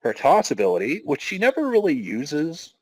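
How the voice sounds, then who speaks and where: A man's voice: on the high side, wildly swooping pitch, moderate pace, husky, dry recording, rough recording. A man hosting a podcast, giving a dramatic reading with casual, wry asides. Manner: wry, self-interrupting commentary. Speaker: podcast host.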